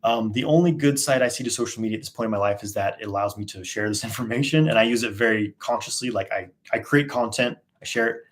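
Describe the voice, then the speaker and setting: A man in his thirties answers viewer questions on a live stream. His voice sits on the low side, and he talks in a loose, relaxed way with plenty of fillers. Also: fast, few pauses. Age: 30s. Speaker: host. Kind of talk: live Q&A, casual chat.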